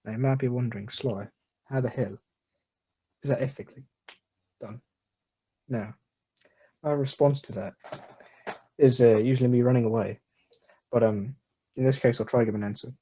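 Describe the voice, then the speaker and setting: A man's voice: mid-range voice, fairly even intonation, normal speed, long pauses, dark tone, slightly rough. A man presenting slides in a crude, mocking joking way, on a dull lo-fi recording. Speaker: comedy presenter.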